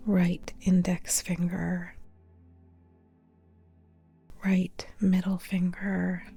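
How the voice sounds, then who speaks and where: A woman leads a guided yoga nidra meditation very slowly in a soft, breathy near-whisper. Her voice is lowish and mellow, with calm, spaced phrases. Music plays behind her. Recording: modest older mic, music behind.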